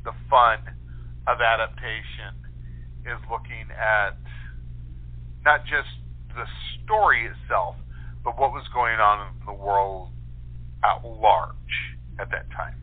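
A man talking casually as an interview guest, thoughtful and halting, often rephrasing as he explains his writing. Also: on the low side. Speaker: guest playwright, actor and director. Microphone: telephone call. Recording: tinny phone-line sound.

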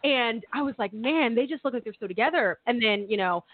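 A woman's voice: on the high side, animated, fairly quick, no room sound. A young woman, a reporter on a radio show, chatting casually and telling a rambling story with lots of laughter. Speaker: reporter, studio guest. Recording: tinny phone-like audio.

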